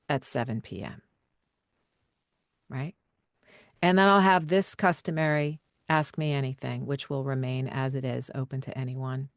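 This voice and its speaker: A woman voiceover coach answering questions live over a video call, relaxed and conversational, with frequent fillers and light laughs. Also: sits low, no room sound, studio quality.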